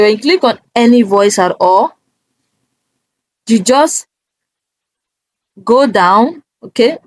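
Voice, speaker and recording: Slow-paced woman giving a tutorial. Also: medium pitch, dry recording, low-quality recording. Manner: halting, step-by-step, often checking in with "okay". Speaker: tutorial host. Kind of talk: casual screen-recorded software walkthrough.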